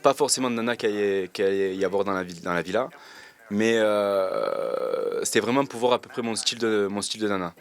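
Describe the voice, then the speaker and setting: A man, answering interview questions in a relaxed, slangy way and pausing often with fillers. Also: on the low side, soft background music. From Marseille, France. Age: 20s. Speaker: reality TV contestant interviewed.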